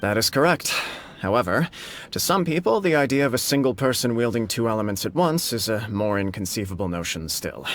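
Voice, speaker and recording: A man's voice: on the low side, expressive, fairly quick. A man voicing a composed noble official who speaks in a polished, formal and carefully persuasive way, in a game cutscene. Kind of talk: video game cutscene dialogue.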